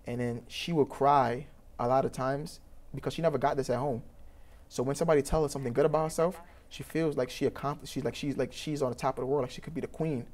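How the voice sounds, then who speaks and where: Young Trinidadian man, program founder, fast and earnest with frequent restarts. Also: mid-range voice, a little mellow. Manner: earnest, rambling, many fillers and restarts. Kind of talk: interview answers.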